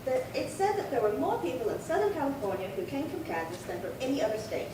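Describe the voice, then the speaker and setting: Radio drama role: actress as elderly female tenant, rambling and opinionated, lively pitch swings, recorded far off in echo. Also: fast. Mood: indignant. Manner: rambling, fussy, indignant recollections. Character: chatty, opinionated elderly woman tenant, long-time resident. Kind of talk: old-time radio crime drama acting. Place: live theatre stage reading. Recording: echoey hall, far-off mic, noisy rumble.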